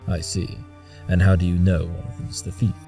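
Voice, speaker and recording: A man voicing a coolly arrogant, analytical pony detective, curt and measured, in an audio drama. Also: sits low, completely flat delivery, vocal fry, mellow, soft background music. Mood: commanding. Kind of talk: audio drama character acting.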